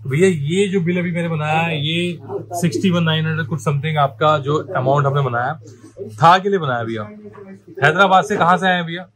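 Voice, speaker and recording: High-voiced salesman pitching car parts. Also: brisk, crowd noise, rough recording. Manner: pitchy salesman, keeps calling viewers brother. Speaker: auto accessories shop salesman. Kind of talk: sales pitch walkthrough video. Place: car accessories shop.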